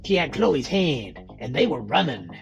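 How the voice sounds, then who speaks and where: A man's voice: mid-range voice, very animated, normal speed, gravelly. A man narrating a scripted horror story in a dramatic style. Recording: music underneath.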